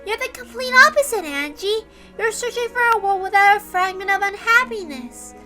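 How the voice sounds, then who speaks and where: A woman voicing a serene, earnest nine-year-old girl who lectures gently and stays unshakably optimistic, in a dramatic story reading. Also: extremely high pitch, animated, soft background music, voice pushed up, exaggerated voice. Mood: serene, preachy. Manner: measured, reasoning, gently lecturing. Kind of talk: dramatic reading of a visual novel.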